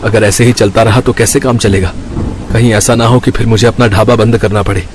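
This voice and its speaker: A man's voice: lowish voice, steady tone, a little fast, background noise, close to an everyday voice. A man voicing a worried, humble village eatery owner in a cartoon, speaking plaintively. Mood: despairing.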